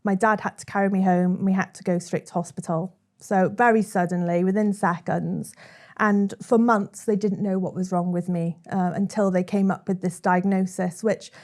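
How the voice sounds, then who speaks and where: Young Welsh woman, chatty storyteller, mid-pitched, conversational guest. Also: steady tone, normal speed, a little mellow. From South Wales. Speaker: guest, content creator.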